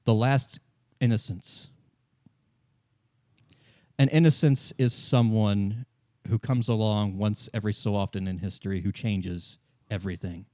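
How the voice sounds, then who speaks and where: A man narrating as game master, explaining fictional lore in a measured, solemn and deliberate storytelling manner. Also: on the low side, steady tone, warm tone, no room sound, studio quality. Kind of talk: improvised lore exposition in a tabletop game.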